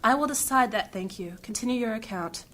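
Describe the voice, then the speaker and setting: A woman playing a guarded, grave noble mage in a fantasy audio drama, speaking formally and deliberately. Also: fairly high pitch, animated, a bit bright, a little hiss or hum. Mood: composed, firm.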